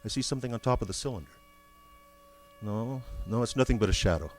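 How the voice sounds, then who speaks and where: An actor playing an excited radio field reporter, giving breathless eyewitness commentary in 1930s radio drama style. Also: male voice, medium pitch, quick pace, soft background music, close to an everyday voice. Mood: hushed, watchful. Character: excited male radio field reporter witnessing an alien machine.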